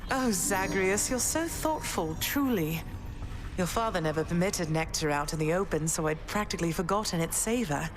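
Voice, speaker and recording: A woman playing a gentle, dignified mother goddess and underworld queen in a video game, composed, gracious and thoughtful. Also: on the low side, expressive, normal speed, lightly acted. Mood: touched, fond. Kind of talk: video game character dialogue. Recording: music behind the voice.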